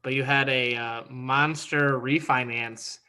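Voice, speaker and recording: Bright-voiced, enthusiastic male co-host. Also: medium pitch, steady tone, slow, clear, resonant tone. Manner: enthusiastic, chatty. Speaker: podcast co-host. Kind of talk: casual podcast conversation.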